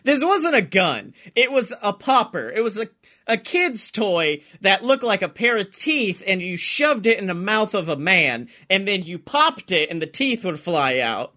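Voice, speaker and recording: A man with a high, forceful voice chats casually as a podcast co-host. He is excitable and giggly, swears freely and spins comic stories. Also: a little slow. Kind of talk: casual comedic chat and storytelling.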